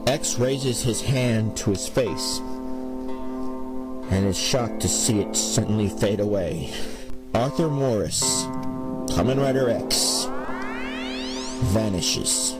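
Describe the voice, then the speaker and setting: A man's voice: on the low side, slow, long pauses. A man narrating a scripted superhero audio drama in a dramatic storytelling style, over music.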